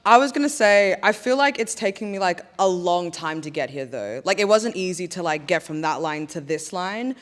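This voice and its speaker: A woman's voice: on the low side, animated, normal speed, few pauses. A young woman sharing her views in a casual panel discussion, talking reflectively with lots of "like" fillers. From Australia. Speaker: panel guest.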